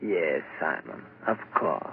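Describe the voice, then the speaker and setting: An actor playing a calm, grave doctor of mental patients, measured and patient, in 1940s radio style. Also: male voice, a bass voice, gravelly, full character voice. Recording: dull, noisy 1940s radio recording.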